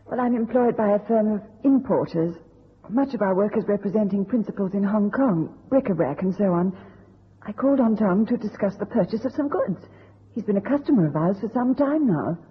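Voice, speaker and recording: A woman's voice: mid-range voice, expressive, fairly quick. An actress playing a guarded, nervous businesswoman in an old radio detective drama, hesitant and defensive. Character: guarded, nervous young businesswoman held captive. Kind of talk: golden-age radio drama acting. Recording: muffled, rough old radio recording.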